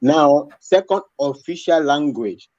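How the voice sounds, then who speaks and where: A man's voice: fairly high pitch, animated, takes it slowly, a little mellow, no room sound. A man making his case on a live panel, insistent and repeating his points. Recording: dull lo-fi sound.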